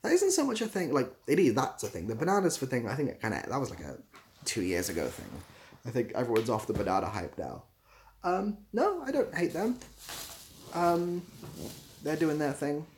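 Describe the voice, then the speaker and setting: Young male livestreamer, southwest English accent, mid pitch, rambling casual chat, faint noise behind. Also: very animated, normal speed, a little mellow. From southwest England, near Bath. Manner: rambling, self-deprecating. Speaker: livestream host and YouTuber.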